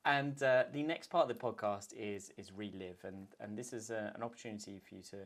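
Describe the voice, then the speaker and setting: A man's voice: lowish voice, brisk. A man hosting a podcast, asking questions in a friendly, easy-going way. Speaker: podcast host. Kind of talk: casual interview chat.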